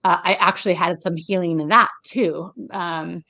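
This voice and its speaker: A woman's voice: on the low side, steady tone, normal speed, pushed and bright, no echo. A woman in her thirties hosting a casual video call interview, chatty and rambling with many fillers and restarts. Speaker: interview host. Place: home room indoors. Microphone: webcam on a video call.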